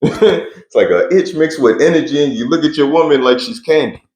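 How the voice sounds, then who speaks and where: A man's voice: fairly high pitch, moderate pace, a little mellow, reverberant. A young man answering interview questions in a laid-back, blunt way with slang and frequent laughs. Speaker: interview guest.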